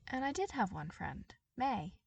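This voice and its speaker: An anxious, wounded teenage boy in a storybook tale, acted out by a woman. He speaks hesitantly and apologetically, pitched fairly high. The recording is dry and slightly distant. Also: sing-song, measured pace, vocal fry, breathy edge, dry recording, exaggerated voice. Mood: guarded, wistful. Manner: nervous, hesitant.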